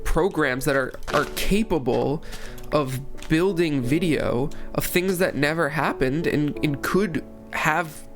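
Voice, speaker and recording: A man's voice: fairly high pitch, takes it slowly, music in the background. Congested young man rambling over gameplay. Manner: rambling, restarts phrases, many fillers. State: congested from a cold. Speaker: gaming channel host. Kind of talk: casual commentary while playing.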